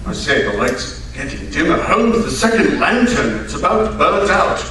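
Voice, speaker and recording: A man's voice: on the high side, very animated, normal speed, sharp tone. A man playing a grumbling, sarcastic Victorian detective's sidekick in a live old-time radio drama, full of bluster. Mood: worried. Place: live stage performance at radio club event. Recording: very echoey hall, distant mic, faint noise.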